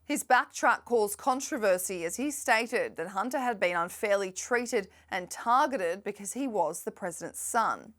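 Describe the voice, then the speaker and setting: A woman's voice: mid-range voice, lively intonation, unhurried, few pauses, a bit bright. Woman reading a snarky news roundup. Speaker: news presenter. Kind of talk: scripted news presenting.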